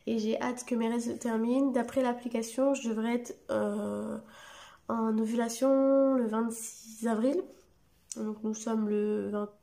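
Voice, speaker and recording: A young woman talks straight to her camera at home late at night, her voice hoarse and gravelly from a cold. She sits a little high in pitch and rambles, pausing often and restarting her sentences. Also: steady tone, warm tone. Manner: rambling, many hesitations and restarts. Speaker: family vlogger. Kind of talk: casual direct-to-camera vlog. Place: home at night under artificial light.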